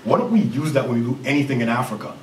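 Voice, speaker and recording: A man in his thirties with a Jamaican accent, a CEO giving an energetic conference presentation and joking with the audience. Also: mid-range voice, racing, a little hiss or hum. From Canada. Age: 30s. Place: university lecture hall. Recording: roomy hall recording from far off.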